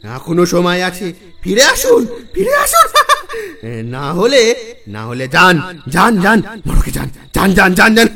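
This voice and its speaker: A man playing a frantic, crazed village man in a horror audio drama, halting, gasping and repeating his warnings. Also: sits high, wildly swooping pitch, pushed and bright, faint music behind, exaggerated voice. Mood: manic taunting. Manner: halting, gasping, repeating himself, wild cackles.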